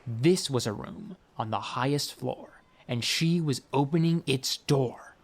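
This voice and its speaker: A man reading scripted fiction as an audiobook narrator, with a wry, expressive storytelling manner. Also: medium pitch, unhurried.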